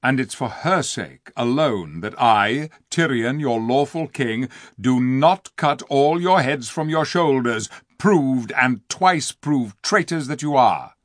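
A man voicing a grave, noble king who speaks in formal, measured, stern tones. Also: mid-range voice, lively intonation, no echo, clean studio sound.

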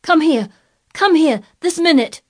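Female reader as rich young boy, storybook, high-pitched, imperious, loud, hot-tempered. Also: lively intonation, measured pace. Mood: furious. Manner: commanding, haughty, loud.

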